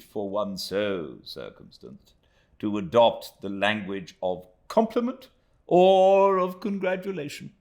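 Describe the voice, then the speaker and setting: A man voicing a pompous, florid, self-pitying middle-aged gentleman, reading out a letter with grand swells and theatrical drops in his voice. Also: deep voice.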